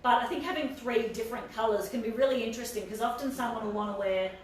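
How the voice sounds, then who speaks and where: A woman with a quiet voice co-hosting a fashion live stream, chatting casually with many fillers. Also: a little high, fast talker, heavy reverb, far from the mic. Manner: chatty, hesitant, lots of fillers. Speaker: fashion boutique co-host. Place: echoey room. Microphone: no mic, far from laptop camera.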